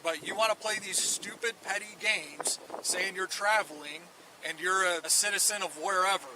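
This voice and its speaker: A man's voice: sits high, moderate pace. A male police officer at a traffic stop, blunt and impatient. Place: outdoors, roadside. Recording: bodycam audio with loud traffic noise.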